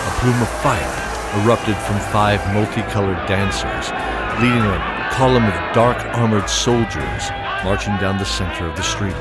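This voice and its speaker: A man narrating a fantasy audio drama in measured storytelling tones, over a music bed. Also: on the low side. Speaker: narrator and game master. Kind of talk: scripted narration.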